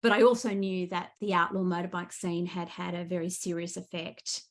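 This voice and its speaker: A woman's voice: on the low side, level tone, brisk. Chatty Australian woman, enthusiastic storyteller. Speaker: guest author. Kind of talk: casual interview chat.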